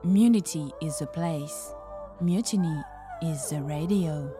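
A woman playing a rebel radio station announcer, reading a scripted jingle line in a measured, declarative way. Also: low-pitched, loud music behind the voice.

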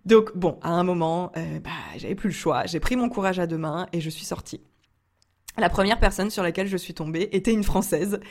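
Young woman from France, low-pitched, casual vlog storyteller, talks with many fillers. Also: fairly quick, a little mellow. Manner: chatty, frequent fillers and restarts, laughs often. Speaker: entrepreneur vlogger.

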